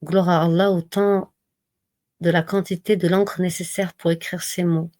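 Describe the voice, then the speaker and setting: A woman giving a slow, measured devotional reading and reminder, pausing often between phrases. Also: on the low side, flat delivery, darkish tone, dry recording, clean studio sound. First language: not Arabic. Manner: slow, measured recitation with pauses. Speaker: religious reminder speaker.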